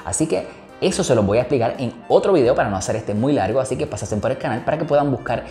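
A man with a Puerto Rican Spanish accent walks through an investing tutorial, explaining patiently and checking in often. His voice is mellow and warm, with a noticeable room echo and faint music behind him. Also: mid-range voice, expressive, fast talker. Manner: explanatory, repeats "¿ok?" to check understanding. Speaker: investing educator. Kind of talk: screen-recorded tutorial explaining options.

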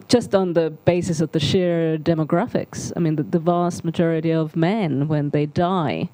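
A woman's voice: deep voice, warm tone, no room sound, poor audio. A middle-aged woman speaking spontaneously into a handheld microphone as an academic panelist, explaining and repeating words as she builds her points. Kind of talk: spontaneous panel discussion remarks. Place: seminar auditorium on stage.

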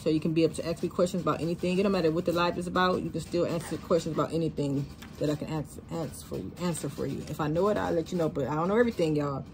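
A woman's voice: deep voice, very fast, few pauses, darkish tone, low-quality recording. A woman, chatting casually to viewers as she demonstrates shoe decorating in her craft studio. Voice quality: slightly raspy. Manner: chatty, talks to viewers as y'all. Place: craft studio with sewing and embroidery machines. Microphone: camera over worktable.

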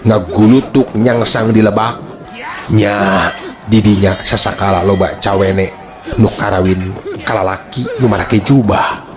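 A man's voice: fairly low pitch, steady tone, measured pace. A man with a Sundanese accent narrating a folk tale as a dramatic storyteller.

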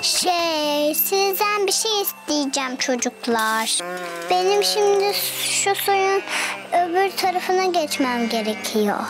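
A woman voicing a sweet, affectionate, playful little girl in a children's cartoon, with gentle, cooing delivery. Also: very high voice, animated, slow pace, loud music behind the voice, full character voice. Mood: coaxing, sweet.

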